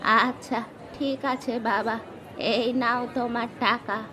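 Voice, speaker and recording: Woman acting a slow, bright-voiced village granny. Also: fairly high pitch, steady tone, a faint crowd. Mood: gentle. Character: gentle grandmotherly village customer. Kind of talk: cartoon fairy tale dialogue. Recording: outdoor market ambience, animal noise.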